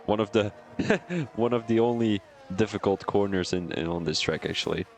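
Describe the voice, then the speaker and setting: A young man with a Belgian accent doing live commentary on a sim racing stream, excitable and chatty, laughing and rambling between calls. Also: on the low side, normal speed, creaky, slight background noise.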